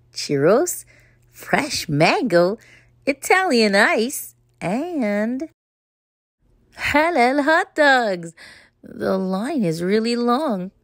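A woman reading a children's picture book aloud, narrating as the story's child with expressive, deliberate phrasing. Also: on the high side, dry recording, clean studio sound. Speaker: storybook reader.

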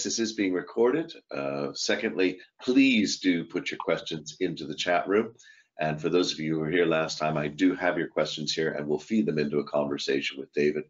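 Very deep-voiced man hosting a webinar. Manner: genial, chatty, with frequent fillers. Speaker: host. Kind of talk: webinar interview host. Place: home office, on a video call. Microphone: video call microphone. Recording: dull lo-fi video call audio.

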